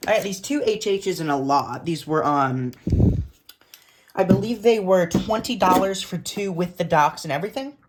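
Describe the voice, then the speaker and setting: A woman's voice: on the low side, lively intonation, moderate pace, background noise. A woman giving a casual, blunt, informal show-and-tell tour of a collection as a vlogger. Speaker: vlogger showing a radio collection.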